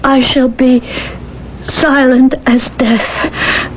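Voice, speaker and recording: An actress in a 1970s radio drama playing a submissive, anguished young bride, solemn and pleading. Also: female voice, sits high, level tone, extremely slow. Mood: resigned. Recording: muffled, hissy old radio recording.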